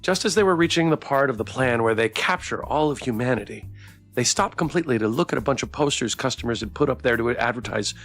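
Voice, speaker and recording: A man's voice: medium pitch, lively intonation, fast talker, fairly bright tone, soft background music. A man streaming a game, reading the story aloud expressively and chatting with viewers as he goes. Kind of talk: playthrough narration with chat banter.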